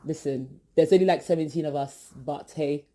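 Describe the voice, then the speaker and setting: A young British woman, hosting her podcast and introducing herself in a chatty, animated way with many fillers and asides. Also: sits low, moderate pace. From Britain, born in East London, family from Ghana. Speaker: podcast host. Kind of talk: casual self-introduction.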